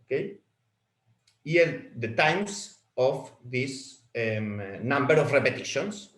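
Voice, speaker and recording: A man whose first language is Spanish, leading a software walkthrough webinar as a product trainer, halting and repeating words as he clicks through settings. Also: mid-range voice, animated, roomy sound.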